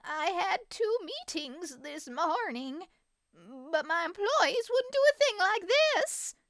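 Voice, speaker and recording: A woman's voice: very high-pitched, very animated, takes it slowly, a bit bright, no echo, voice pushed up, exaggerated voice. A woman voicing an elderly grandmother animal with a shaky, warbling voice in a children's story. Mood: worried.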